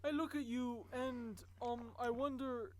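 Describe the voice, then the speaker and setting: An actor voicing an anxious, timid female pony fashion designer in a comedic cartoon fan dub, halting and talking to herself. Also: medium pitch, fairly even intonation, heavy background noise, lightly acted. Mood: anxious self-questioning.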